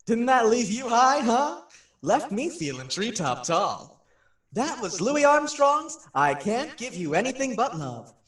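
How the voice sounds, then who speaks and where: An actor playing a brash, excitable 1940s radio disc jockey, chatty and showy, full of teasing questions. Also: male voice, very high voice, a little slow, heavily acted. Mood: upbeat, showy. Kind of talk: old-time radio DJ patter, radio acting. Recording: roomy echo, distant, music behind.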